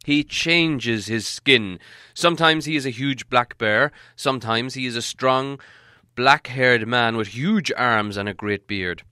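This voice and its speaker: A man's voice: medium pitch, a little slow, pushed and bright, clean tone, no echo, clean studio sound. A man voicing a fussy, stern old male wizard who lectures and warns crossly. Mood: explaining.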